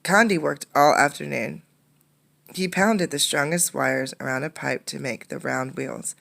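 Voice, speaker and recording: Low-pitched woman reading a storybook, slightly creaky. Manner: expressive narration, pausing to pose simple questions. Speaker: teacher reading a picture book aloud. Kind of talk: storybook read-aloud with questions to children.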